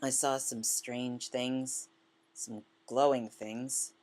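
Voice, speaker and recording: Woman narrator as melancholy male colleague, very low pitch, hesitant, musing, literary storybook reading. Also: steady tone, drawn-out words, darkish tone, full character voice. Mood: wistful.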